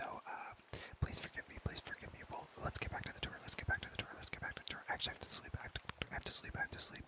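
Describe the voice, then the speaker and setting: A young man whispers in a breathy, unvoiced voice, rambling casually through an ASMR game tour and softly repeating his words and phrases. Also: very high-pitched, rough recording. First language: English. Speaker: ASMR content creator.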